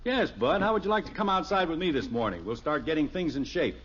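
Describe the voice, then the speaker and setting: A man's voice: a little high, expressive, fast talker, a bit bright, husky. An actor playing a genial, easily exasperated middle-aged suburban father in a 1950s radio sitcom, folksy and dryly sarcastic. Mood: easygoing. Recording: dull old radio recording, faint hiss.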